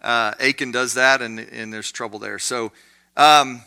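Guy teaching bible study, lots of ums and asides, sharp bright voice. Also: medium pitch, steady tone, unhurried, gravelly, clean tone. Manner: conversational, with many fillers and asides.

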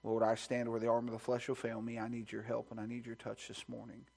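A pastor speaks from the pulpit of a church sanctuary, his voice sitting at an ordinary pitch for a man. He moves between a hushed, earnest prayer and climbing, loud, fervent preaching. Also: monotone, quick pace, gravelly, breathy edge. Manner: builds to loud, high-pitched preaching fervor; soft, hushed praying voice. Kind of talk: sermon with scripture reading and prayer.

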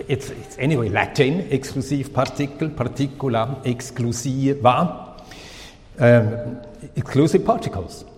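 A Finnish-born man whose first language is Swedish, preaching a sermon with slow, halting delivery and many pauses. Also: fairly high pitch, a little mellow. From Finland. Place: church hall. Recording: long reverb of a hall.

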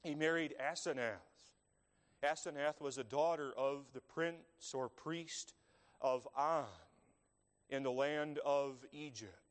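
A man preaching a sermon, speaking in slow, measured phrases with long dramatic pauses. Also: fairly high pitch, clean tone. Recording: long reverb of a large hall.

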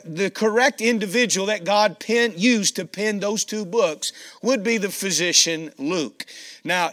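A man's voice: high-pitched, sharp tone. A man preaching a sermon in a folksy way, with a scratchy voice. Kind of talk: sermon with scripture reading.

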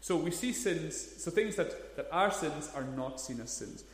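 Pastor in his 30s preaching, kinda high voice, lots of room echo. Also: expressive, measured pace. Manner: earnest, emphatic preaching with stressed key words. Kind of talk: sermon. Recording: echoey hall, long reverb.

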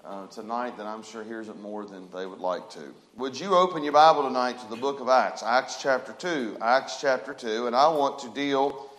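Booming folksy preacher, echoey sanctuary. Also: male voice. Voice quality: loud booming voice. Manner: folksy, rambling with fillers, reads scripture slowly. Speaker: pastor. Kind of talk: midweek sermon. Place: church sanctuary, livestreamed.

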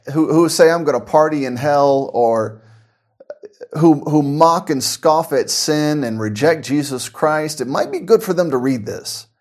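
A man preaching an informal sermon, earnest and conversational, often repeating words as he restarts his sentences. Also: a little high. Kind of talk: conversational radio-style sermon.

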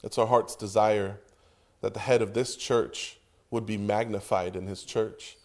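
A man preaching an earnest sermon from the pulpit, reading scripture and praying, with emphatic pauses. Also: fairly low pitch, steady tone, darkish tone. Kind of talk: sermon with prayer.

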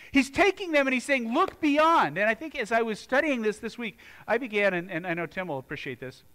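A man's voice: very high-pitched, lively intonation, brisk, bright, forceful tone. A man from the United States preaching a sermon in a church, earnest and conversational, often restarting his sentences. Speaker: pastor.

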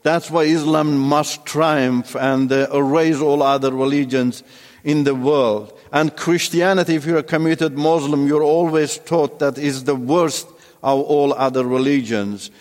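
Halting man telling his testimony. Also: fairly high pitch, steady tone, a little mellow, big-room sound. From Iran. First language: Turkish. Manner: halting, many fillers and restarts. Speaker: testimony speaker. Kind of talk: personal testimony talk.

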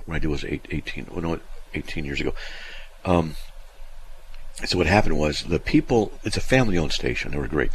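A man's voice: extremely low pitch, a little hiss or hum. A middle-aged man hosting a call-in radio show, telling stories in a folksy, self-deprecating way. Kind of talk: casual call-in radio chat.

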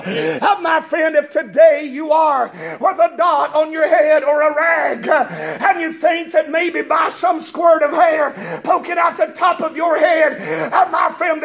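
A man preaching a fiery revival sermon in a church, a strong voice and a chanting, shouting cadence, as the congregation answers him. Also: very high-pitched. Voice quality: strong, gravelly voice. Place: church sanctuary with congregation responding.

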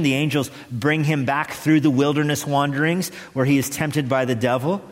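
A man preaching an expository sermon as a pastor, emphatic and deliberate, repeating key phrases with pauses. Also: fairly high pitch. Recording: echoey hall with long reverb.

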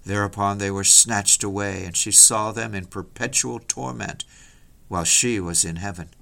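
A man's voice: lowish voice, fairly even intonation, moderate pace, creaky, bright, forceful tone. Solemn man preaching a dramatic sermon. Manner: solemn, declamatory, rhetorical. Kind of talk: dramatic hellfire sermon, old-fashioned scripted oratory.

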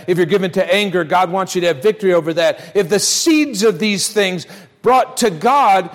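A middle-aged American man preaching a sermon as a pastor, speaking in an emphatic, folksy way straight to his listeners and repeating phrases. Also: high-pitched. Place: large church hall, live service. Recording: long hall reverb on voice.

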